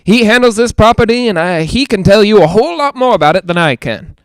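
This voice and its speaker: A man's voice: high-pitched, expressive, fast, clear, resonant tone, no echo. A man playing a chatty, skeptical radio ghost hunter in a 1940s-style audio drama, with folksy joking patter. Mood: chatty showman.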